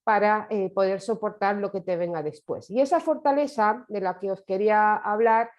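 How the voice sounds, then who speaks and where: A woman whose first language is Romanian gives an online lecture in a reflective manner, pausing and restarting often. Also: medium pitch. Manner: reflective, with many hesitations and restarts. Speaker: course speaker. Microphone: video call.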